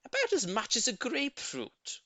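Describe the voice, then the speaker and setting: A man voicing a sweet, gushing middle-aged lady who dotes on her pet, with drawn-out cooing words, in an audiobook. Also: very high-pitched, wildly swooping pitch, bright, forceful tone, no echo, voice pushed up, full character voice. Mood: wistful, fretting. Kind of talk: audiobook character voice.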